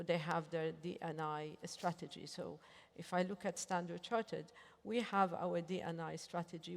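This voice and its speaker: A woman's voice: lowish voice, gravelly. A woman bank executive speaking earnestly on a panel, often restarting her sentences. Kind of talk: panel discussion. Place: large hotel conference hall. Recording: reverberant conference hall.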